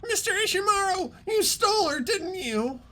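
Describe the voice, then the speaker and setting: A woman's voice: very high-pitched, lively intonation, moderate pace, bright, forceful tone, pitched above the performer's own voice, heavily acted. A woman voicing a pompous, whiny, melodramatic geeky male student in an amateur game dub. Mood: whiny, accusing. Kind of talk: amateur fully voiced game playthrough.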